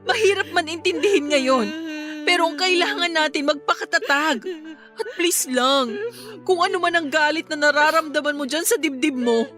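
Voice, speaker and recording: A woman's voice: high voice, moderate pace, music in the background, lightly acted. A woman with a Filipino accent playing a concerned, reasoning older sister and mother in a radio drama. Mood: pleading, consoling.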